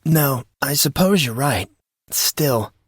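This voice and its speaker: A man plays an uncertain teenage boy in an audiobook, guarded but earnest. He speaks hesitantly and tends to question, pitched a little up from a low adult register in a dry, close sound. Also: animated, slow, slightly rough, dry recording. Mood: hesitant, uneasy. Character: confused, uncertain fourteen-year-old boy, earnest and guarded. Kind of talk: acted audiobook dialogue.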